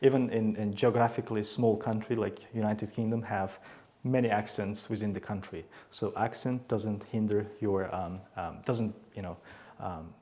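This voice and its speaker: A man's voice: fairly low pitch, steady tone, moderate pace, dark tone. A man with an Uzbek accent explaining things as a teacher in an interview. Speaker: IELTS teacher, interview guest.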